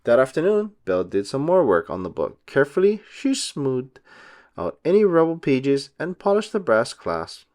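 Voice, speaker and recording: A man giving an expressive, deliberate storybook narration for children. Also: medium pitch. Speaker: read-aloud narrator for children.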